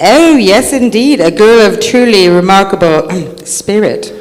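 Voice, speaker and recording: A woman's voice: medium pitch, lively intonation, measured pace, darkish tone, lightly acted. A woman playing a proper, conciliatory lady presiding over a hearing in a staged radio play, speaking formally and persuasively. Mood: coaxing. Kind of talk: old-time radio drama acting on stage. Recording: echoey hall, faint noise.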